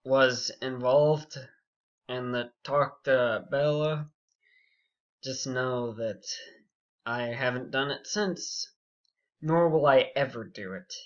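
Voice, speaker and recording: A young man telling a story casually and unscripted, rambling and halting, with frequent asides. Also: medium pitch. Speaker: YouTube storyteller. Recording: dull, muffled lo-fi audio.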